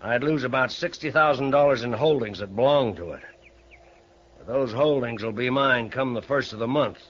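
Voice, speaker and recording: An actor playing a shrewd, grudge-holding old ranch owner in a radio Western, with a folksy, needling American Western drawl. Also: male voice, medium pitch, moderate pace, a bit bright, lightly acted. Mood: shrewd, blunt. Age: older. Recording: muffled vintage radio broadcast.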